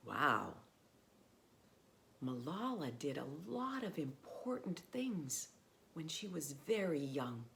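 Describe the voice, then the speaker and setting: A woman pastor, reading a picture book aloud to children in an expressive, simple way. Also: sits low, slow pace, creaky, long pauses, slight background noise. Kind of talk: storybook read-aloud, addressed to children.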